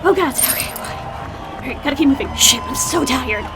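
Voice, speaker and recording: A woman acting a young female gladiator in an audio drama, with a very high, emotional voice. She is a weary idealist, earnest and defiant, with music playing behind her. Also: lively intonation, normal speed. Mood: weary, tense.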